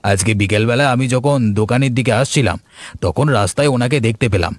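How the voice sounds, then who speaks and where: A man's voice: mid-range voice, expressive, fast, no room sound, close to an everyday voice. A man voicing a friendly, sympathetic village shopkeeper who chats and advises like an elder brother in a cartoon. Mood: chatty. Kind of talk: cartoon story dialogue.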